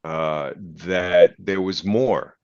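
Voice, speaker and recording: An older man with a lowish voice talks very slowly as a guest in an interview. He is earnest and deliberate, stopping and repeating himself as he reflects. The sound is somewhat dull and lo-fi. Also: steady tone, a little mellow, clear, resonant tone. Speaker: interview guest, priest and author.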